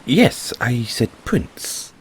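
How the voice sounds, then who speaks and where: A man's voice: medium pitch, very animated, extremely slow, slight background noise. A man playing an earnest, cheery merman prince in an audio roleplay, speaking in a theatrical, exclamatory way with genteel, fussy politeness. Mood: haughty, apologetic. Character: young, cheery, dramatic, naively earnest merman prince of Atlantis. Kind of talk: audio roleplay addressed to the listener.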